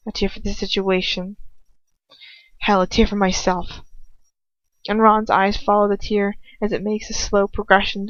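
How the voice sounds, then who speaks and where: A woman narrates a first-person story with dramatic feeling at a medium pitch. The sound is dry and a little dull, like a homemade lo-fi audiobook. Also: no room sound. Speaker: amateur audiobook reader. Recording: dull, lo-fi close recording.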